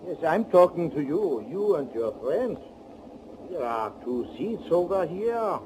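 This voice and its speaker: Oily genial male villain, slow mellow voice, swooping intonation, sly, 1940s radio thriller. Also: a little high, sing-song. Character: affable, oily male bus passenger, secretly a Nazi killer. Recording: faintly noisy 1940s radio recording.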